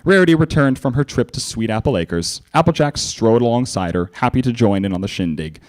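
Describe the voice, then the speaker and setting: A man giving a theatrical, animated live reading of fan fiction as narrator and presenter. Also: mid-range voice, brisk.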